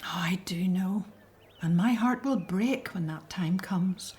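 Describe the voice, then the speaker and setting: A woman playing a gentle, faithful Hebrew mother in a biblical radio drama, with emotional delivery. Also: on the low side, slow. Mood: sad, resolute. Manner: gentle, emotional, breathy sighs. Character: tender, faithful Hebrew mother, fearful for baby son.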